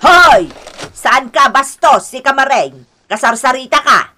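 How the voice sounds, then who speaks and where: A woman's voice: sits high, expressive, a little slow, pushed and bright, slight background noise, full character voice. An actress with an Ilocano accent playing a domineering, quarrelsome mother-in-law who scolds in an exaggerated radio-drama style. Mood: haughty, confrontational. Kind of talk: Ilocano comic-melodrama radio acting.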